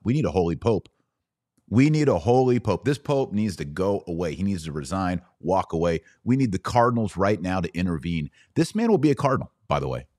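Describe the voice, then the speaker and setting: A man's voice: low voice, no echo, studio quality. A middle-aged American man hosting a podcast, giving opinionated religious commentary in an informal, slangy, mocking and incredulous way.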